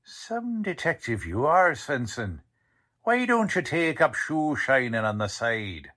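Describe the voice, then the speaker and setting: An actor playing an impatient, sarcastic, tough-talking police detective in a 1940s-style radio drama. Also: male voice, medium pitch, sing-song, slow pace, pushed and bright, exaggerated voice.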